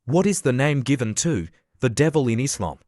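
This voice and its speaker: A man hosting a quiz, reading out questions and answers in a plain, measured way. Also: mid-range voice. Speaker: quiz host. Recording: clear steady background noise.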